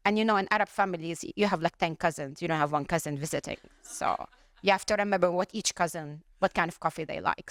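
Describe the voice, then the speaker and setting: Audience member, woman from Lebanon, lowish and close-miked, chatty and listing examples. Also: no room sound. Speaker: workshop participant. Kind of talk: audience comment at a workshop.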